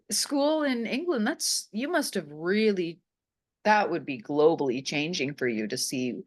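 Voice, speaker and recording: Woman interviewer with very lively pitch. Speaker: interviewer, magazine host. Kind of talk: casual chat interview.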